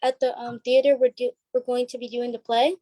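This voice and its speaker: A woman's voice: fairly high pitch, level tone, fairly quick, mellow, no echo. A woman who performs on stage, chatting casually as an interview guest. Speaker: stage play cast member being interviewed. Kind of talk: casual chat.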